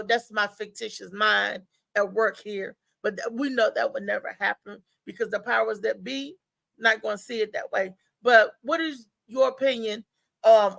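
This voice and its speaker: A woman hosting a live talk show with casual, conversational commentary, often halting and repeating words as she goes. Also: fairly high pitch, creaky, a bit bright, no room sound, low-quality recording.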